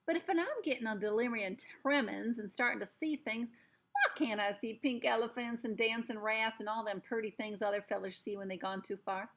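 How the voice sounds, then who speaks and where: A woman voicing a tiny, self-pitying, hard-drinking cowboy man with a put-on Texas drawl and a rough edge. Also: a little high, a bit bright. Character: crying drunk tiny Texan cowboy man.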